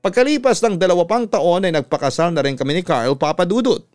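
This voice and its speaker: A man with a Filipino accent, a radio announcer reading a scripted story in a measured, earnest way. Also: sits high, expressive, darkish tone. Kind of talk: scripted narration of a listener's letter. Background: music under the voice.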